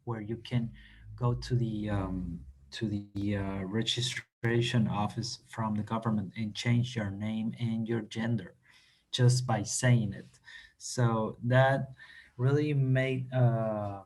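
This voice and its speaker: A man from Argentina, a native Spanish speaker, answering interview questions over a video call, pausing often with fillers and restarts. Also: on the low side, fairly even intonation, darkish tone. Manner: halting, many fillers and restarts. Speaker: company representative guest.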